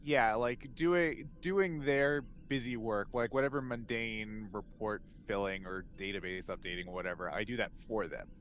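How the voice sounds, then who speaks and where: A man's voice: on the low side, moderate pace, hardly any pauses, fairly bright tone, a little hiss or hum. A man chatting casually as a player in a tabletop game, wry and joking, feeling his way through phrases. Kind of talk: casual improvised game chat.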